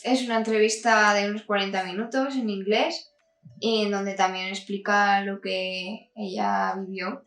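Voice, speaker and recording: A woman's voice: mid-range voice, little pitch movement, a little slow, fairly bright tone. Woman in a very echoey room. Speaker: book review channel co-host. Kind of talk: informal book review to camera. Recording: echoey room, distant mic, music behind.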